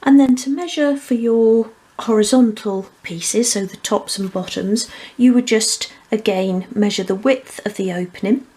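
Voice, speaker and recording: A woman's voice: a little high, unhurried, few pauses, mellow, breathy edge. A woman presenting a hands-on craft tutorial, explaining each step methodically. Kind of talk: hands-on step-by-step instructional demonstration.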